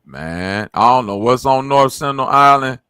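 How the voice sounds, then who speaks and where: A man's voice: medium pitch, animated, measured pace, sharp tone, smooth, no room sound, clean studio sound. A man, bantering bluntly and profanely on a casual podcast.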